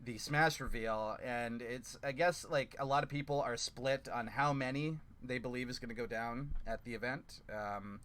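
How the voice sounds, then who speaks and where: A man's voice: mid-range voice, moderate pace, hardly any pauses, a bit bright. A man hosting a casual gaming chat on an online call, rambling with lots of fillers and the odd swear. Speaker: podcast host.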